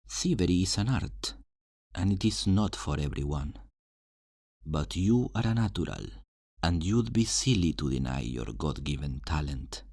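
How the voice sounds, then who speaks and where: Casting: male actor as charming thief for fantasy ASMR, teasing and theatrical, unhurried, swinging delivery. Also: sits low, darkish tone, dry recording, studio quality, full character voice. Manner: flirtatious, smooth-talking, theatrical, teasing. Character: charming, roguish fantasy thief recruiting for his guild. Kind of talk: ASMR roleplay, scripted character acting.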